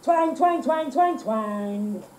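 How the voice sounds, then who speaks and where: A man's voice: very high voice, expressive, extremely slow, darkish tone, echoey room, a little hiss or hum, heavily acted, rough recording. A man playing a tyrannical, impatient, foul-mouthed record producer in a film drama. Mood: impatient, demanding. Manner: bossy, impatient, sings out parts as nonsense syllables.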